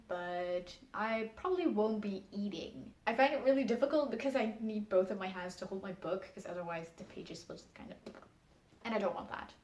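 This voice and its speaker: A young woman talks to camera about her reading habits in a chatty, frank way, with a medium-pitched voice that she keeps in a low register. Her voice rings in an echoey room at home. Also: darkish tone. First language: Dutch. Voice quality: speaks in a low register in English. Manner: chatty, frank, laughs often, emphatic refusals. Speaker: book vlogger. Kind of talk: casual answers to a tag video, talking to camera.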